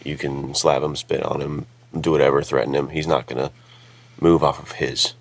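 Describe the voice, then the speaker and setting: A man chatting casually as a podcast co-host, with a gravelly voice. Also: very deep voice, flat delivery, normal speed, no room sound. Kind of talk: casual chat. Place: outdoors while on vacation. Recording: music behind, rough sound quality.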